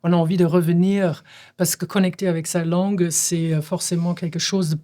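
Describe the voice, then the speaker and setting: A woman's voice: fairly low pitch, flat delivery, fairly quick, dark tone. A woman whose first language is German, a stage director speaking in a reflective conversation, thinking aloud and searching for words with frequent hesitations.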